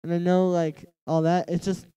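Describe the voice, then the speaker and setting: A man's voice: high voice, flat delivery, moderate pace, warm tone, smooth, dry recording. A young man with a nasal voice, chatting casually and rambling on a live stream while he works on a music track. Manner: rambling, heavy use of "like". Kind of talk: casual livestream chat while producing. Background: faint music from the track being produced.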